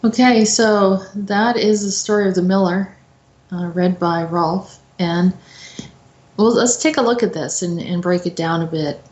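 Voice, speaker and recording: A woman's voice: on the low side, a little slow, darkish tone. Woman commenting informally in echoey room. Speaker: host and commentator. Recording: roomy, echoey sound.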